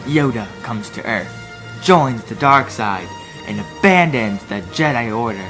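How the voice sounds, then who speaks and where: A woman's voice: extremely low pitch, animated, measured pace, music in the background. A woman hosting a humorous fan fiction reading, with a giggly, sarcastic, casual way of talking. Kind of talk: casual humorous commentary and read-aloud.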